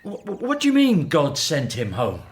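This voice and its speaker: Anxious Hebrew husband played by a woman, radio drama. Flustered, stammering, extremely animated delivery. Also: deep voice, moderate pace, full character voice. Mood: flustered, alarmed. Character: anxious, bewildered Hebrew slave husband and father.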